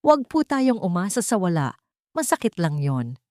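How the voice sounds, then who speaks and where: A woman's voice: on the low side, sing-song, moderate pace, dark tone, dry recording, studio quality. A woman with a Filipino accent voicing a male family doctor, clinical, humorless and dismissive, in an audio drama.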